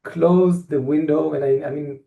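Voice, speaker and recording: Israeli guy presenting tech stuff, dark gravelly voice, lots of uhs and restarts. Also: on the high side, steady tone. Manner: halting, many fillers and restarts. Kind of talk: technical presentation.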